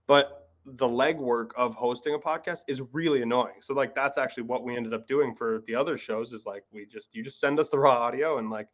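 A man's voice: medium pitch, fairly even intonation, fairly quick, few pauses. A man hosting a podcast interview, talking casually with many filler words. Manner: easygoing, lots of filler words. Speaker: podcast host.

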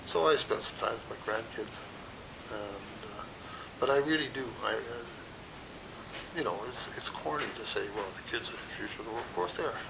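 A man's voice: lively intonation, normal speed, vocal fry. An older man in his sixties or above chatting casually in an interview, rambling and plain-spoken, repeating himself as he goes. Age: 60s or older. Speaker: mall Santa Claus being interviewed. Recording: rumbling noisy background.

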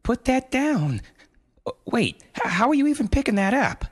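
A man voicing a frantic young mother who stammers and pleads in a high, strained voice. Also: very animated, moderate pace, husky, exaggerated voice.

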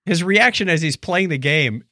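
A man's voice: on the high side, moderate pace, dry recording, studio quality. A middle-aged man hosting a casual podcast, chatting in a playful storytelling manner. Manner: animated, playful storyteller, acts out dialogue and hums. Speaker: podcast host. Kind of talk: casual co-hosted podcast chat.

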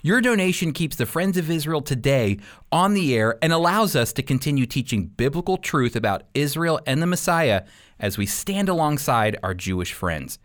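A man's voice: a little high, big pitch swings, moderate pace. A man hosting a radio show and teaching the Bible, emphatic and repeating key points for stress.

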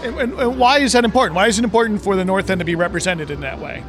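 A man's voice: high voice, racing, over music. A man hosting a podcast, interviewing conversationally and often rephrasing his questions as he goes. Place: inside a brewery restaurant.

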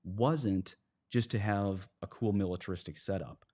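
Low-voiced man, emphatic priest in religious discussion. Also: a little slow, a little mellow. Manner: emphatic, argumentative, poses rhetorical questions. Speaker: priest, podcast guest.

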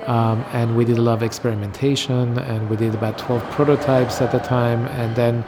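A middle-aged man from Iran talking casually about his design career, pausing often with ums. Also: medium pitch, noisy background. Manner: relaxed, hesitant with many ums. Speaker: interview guest, designer. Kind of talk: casual interview recollection.

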